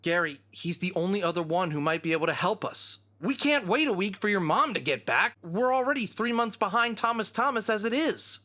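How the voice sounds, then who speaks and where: A man voicing a young, teasing, excitable fox adventurer in a cartoon audio drama. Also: high voice, brisk, few pauses. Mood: urgent, excited. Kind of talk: animated audio drama acting.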